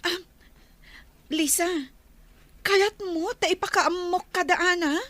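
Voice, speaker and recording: An excitable, gossipy Ilocano-accented friend, acted by a woman, with an extremely high-pitched voice. The delivery is amateur audio drama. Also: slow pace, dry recording, exaggerated voice. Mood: concerned.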